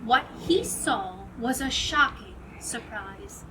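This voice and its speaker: A woman narrating a rhyming storybook expressively, with dramatic shifts between hushed and emphatic delivery. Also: on the high side, animated, takes it slowly. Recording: distant mic with clear background noise.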